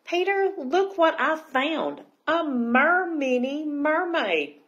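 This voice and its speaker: A woman voicing an excitable, curious little girl with eager exclamations in a children's storybook. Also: mid-range voice, moderate pace, muffled recording, slight background noise. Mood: excited, eager. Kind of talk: children's picture book read-aloud.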